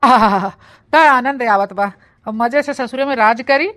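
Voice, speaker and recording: A woman's voice: a little high, measured pace, fairly bright tone, no echo, clean studio sound. A woman voicing a scheming, grumbling young village bride with an eastern Uttar Pradesh accent in a comic cartoon. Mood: smug. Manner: melodramatic, grumbling. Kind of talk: comic cartoon story dialogue in rustic dialect.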